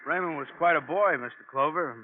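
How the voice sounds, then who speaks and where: A man's voice: on the high side, fairly quick, lightly acted. An actor playing a defensive, slangy working-class foreman dodging questions in a 1950s radio crime drama. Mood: defensive, evasive. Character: evasive working-class male dairy foreman under police questioning. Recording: tinny, noisy vintage radio recording.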